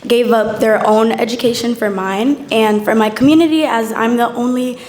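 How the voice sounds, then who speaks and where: A woman's voice: a little high, steady tone, normal speed, a little mellow. A young woman, a student, giving a short prepared dedication speech. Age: young adult. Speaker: student honoree.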